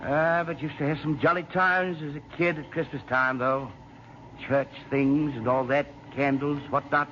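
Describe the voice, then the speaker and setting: An actor playing an affable, chummy Australian army lieutenant with clipped, slangy officer's talk, in old-time radio drama style. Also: male voice, on the high side, fairly even intonation, normal speed, lightly acted. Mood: musing. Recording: noisy, muffled old radio recording.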